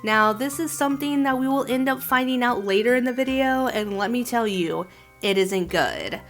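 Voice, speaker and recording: A woman giving scripted video commentary in a conversational storytelling way, with expressive emphasis. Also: a little high, moderate pace. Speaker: host reviewing an animated horror film. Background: background music.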